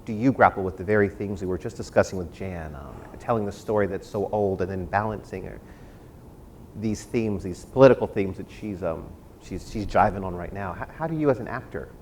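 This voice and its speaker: A man's voice: a little fast, a little mellow. A man hosting a panel discussion on a theater stage in a conversational interviewing style. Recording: clear background noise with low rumble.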